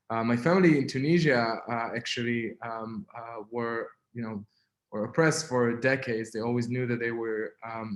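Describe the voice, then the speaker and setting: Israeli-accented young man, webinar guest, halting delivery full of fillers, echoey room on a video call. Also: medium pitch. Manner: halting, many fillers and restarts. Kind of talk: personal testimony in a webinar.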